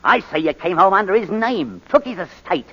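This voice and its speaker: A man's voice: high-pitched, animated, moderate pace, a bit bright, husky, full character voice. An actor playing a sly, falsely genial male blackmailer who wheedles and taunts in 1940s radio drama style. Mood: sly, wheedling. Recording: muffled, reverberant 1941 radio broadcast.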